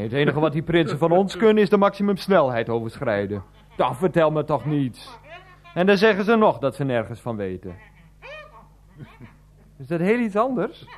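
Animated, pedantic middle-aged man. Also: fairly high pitch. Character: pedantic, exasperated thirty-nine-year-old unemployed teacher, henpecked son. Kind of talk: radio play acting.

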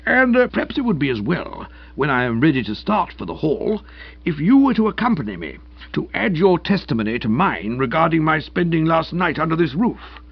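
A man's voice: fairly high pitch, big pitch swings, a little fast, gravelly, husky, highs cut off, pitched above the performer's own voice, exaggerated voice. A man voicing a pompous, blustering older British lord and magistrate who speaks in orotund, long-winded sentences. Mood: brisk, fussy.

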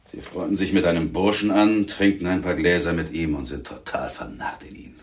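A man's voice: deep voice, steady tone, fairly quick, lightly acted. An actor playing a swaggering, contemptuous male gangster in a radio crime drama, mocking and boastful.

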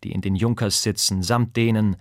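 A man's voice: lowish voice, fairly even intonation, moderate pace, no room sound. A man giving a scripted literary reading of quoted prose in a studio. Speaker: voice actor reading quotations. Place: dry studio.

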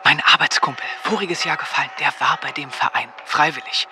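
A young man acting a wary, sharp-witted teenage soldier who also narrates in a radio play. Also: a little high, a little fast, background noise, natural voice. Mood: wary, insistent. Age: young adult.

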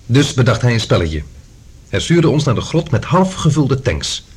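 A man acting a suspicious expedition member who reasons deliberately in a science-fiction radio drama. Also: mid-range voice, very animated, breathy edge. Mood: suspicious, reasoning.

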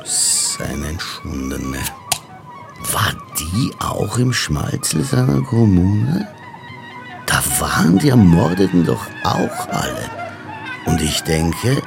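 A weary, sardonic inspector in a radio play. His deep voice swings widely from muttering to theatrical taunts, with music underneath. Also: wildly swooping pitch, slow pace, vocal fry, full character voice. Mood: brooding. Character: weary, sardonic, brooding male police inspector. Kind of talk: radio drama acting. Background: instrumental music.

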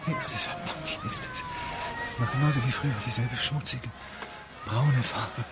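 A man's voice: medium pitch, huge pitch swings, normal speed, over loud music. An actor playing a weary, broken homeless man in a radio drama, intimate, tender and bitter. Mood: searching, agitated. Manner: hushed, intimate, world-weary.

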